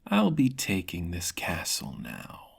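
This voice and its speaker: A narrator voicing a calm, delighted killer, a smiling prisoner who is nonchalant and quietly menacing, in a fantasy story. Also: sits low, slow pace, creaky, breathy edge, exaggerated voice. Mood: quiet menace.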